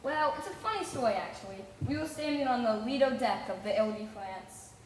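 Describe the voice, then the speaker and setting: A woman's voice: a little high, animated, fairly quick, natural voice. A woman playing an earnest, gullible and lovestruck young bridegroom, polite and guileless, in a stage musical comedy. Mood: dreamy, lovestruck. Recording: echoey hall, faint background noise.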